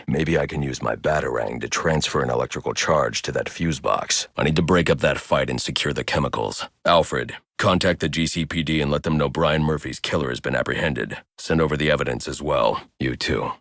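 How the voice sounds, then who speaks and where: A brooding male vigilante detective for a video game, with an extremely low, heavily gravelled voice. He is grim, stern and intimidating, speaking in terse, threatening phrases. The recording is very dry and close. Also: fairly bright tone, no room sound, full character voice. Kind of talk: dramatic video game voice lines.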